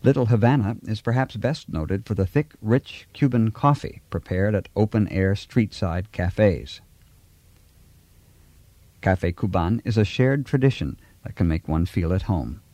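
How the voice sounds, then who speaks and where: A man reading scripted documentary narration in a measured, even, informative way. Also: lowish voice, no room sound.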